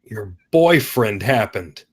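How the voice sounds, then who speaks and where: A man's voice: on the low side, lively intonation, slow, husky, full character voice. A man playing a defiant small-town cop, curt and sarcastic, in a screenplay table read.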